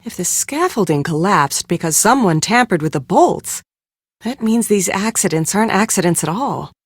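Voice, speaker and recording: An actress playing a brisk, sharp-tongued, exasperated woman on a film crew in an adventure game, speaking with sarcastic emphasis. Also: lowish voice, dry recording, clean studio sound. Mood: suspicious. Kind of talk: scripted game character dialogue.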